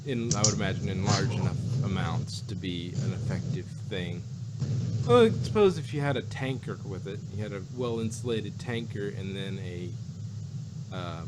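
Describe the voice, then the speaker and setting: A man's voice: fairly low pitch, lively intonation, normal speed, heavy background noise. A man in casual, speculative chat, rambling and repeating phrases as he thinks aloud.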